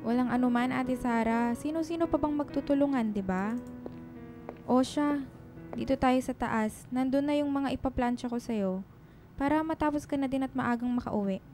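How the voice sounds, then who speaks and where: A woman's voice: high voice, normal speed, warm tone, soft background music, natural voice. A woman playing a kind, protective lady employer in a radio drama, chatty and concerned. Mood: caring. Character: kind, protective middle-class employer, a single mother. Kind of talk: Filipino radio drama acting.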